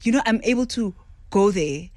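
Animated woman in a dry studio. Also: medium pitch, moderate pace, no room sound. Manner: animated, mixes Setswana into English, acts out others' words. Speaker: restaurant owner, guest. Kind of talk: casual radio interview. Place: radio studio. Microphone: studio boom mic.

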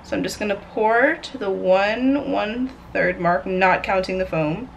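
A young American woman talks casually to a camera in her home kitchen, with a faintly noisy room behind her. Her delivery is chatty and playful with a chaotic streak, at a middling pitch for a woman. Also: a little slow, dull audio. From New York, United States. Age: young adult. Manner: playful, chatty, self-described chaotic energy. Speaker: vlogger. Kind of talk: casual cooking vlog chat to camera. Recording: clear background noise, room ambience.

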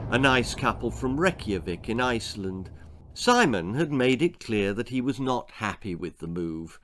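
A man in his sixties reading a children's horror-comedy story aloud as its author, theatrical and relishing the grisly detail. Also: medium pitch. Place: small indoor book-lined room. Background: music.

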